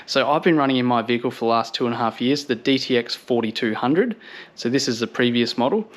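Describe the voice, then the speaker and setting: A man's voice: mid-range voice, quick pace. An Australian man giving a casual product review to camera in an upbeat, approving manner.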